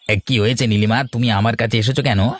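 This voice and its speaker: A man's voice: fairly low pitch, steady tone, fast talker, soft background music, natural voice. A man voicing a sly, coaxing village go-between in a cartoon tale. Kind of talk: Bengali fairy-tale cartoon voice acting.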